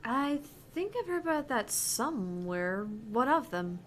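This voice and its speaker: A woman's voice: fairly high pitch, big pitch swings, unhurried. A woman co-hosting a gaming show, reading a visual novel aloud and commenting casually.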